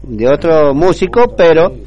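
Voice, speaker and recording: A man's voice: fairly high pitch, big pitch swings, a little slow, muffled recording. Chatty male radio host. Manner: chatty, warm praise, frequent laughs. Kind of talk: casual chat.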